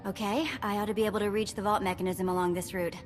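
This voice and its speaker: A woman's voice: mid-range voice, little pitch movement, fast, big-room sound, soft background music, lightly acted. A woman playing a feisty, flippant young female outlaw in a video game, sarcastic and brash. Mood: sardonic. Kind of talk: video game cutscene acting.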